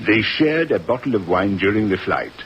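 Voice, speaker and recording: An actor playing a suave, wry French police inspector with a French accent and droll, mock-formal manner in an old-time radio drama. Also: male voice, fairly low pitch, sing-song, moderate pace. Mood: matter-of-fact. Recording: muffled old radio recording, faint noise.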